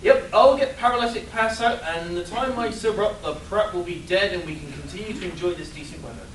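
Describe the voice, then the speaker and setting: A man's voice: high-pitched, brisk, a bit bright. A man playing a jaded, boozy superhero sidekick in an amateur comedy radio play, sardonic and irreverent. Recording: roomy, distant live convention recording with noise.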